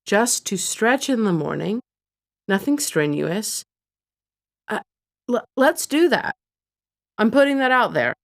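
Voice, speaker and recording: A woman's voice: on the high side, sing-song, slow pace, creaky, long pauses. A woman co-hosting a podcast, chatting candidly and with a self-deprecating edge. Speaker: podcast co-host. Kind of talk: casual chat.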